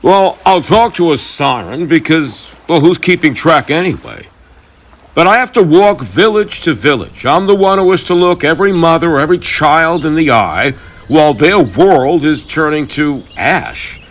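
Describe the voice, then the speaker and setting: A man playing a weary, brooding thunder god in a mythic audio drama, confiding and halting in his burdened role as protector. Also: a little high, lively intonation.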